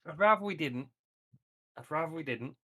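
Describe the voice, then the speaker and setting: Man, fairly high voice, tabletop player, casual table talk. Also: expressive, moderate pace, a bit bright, husky.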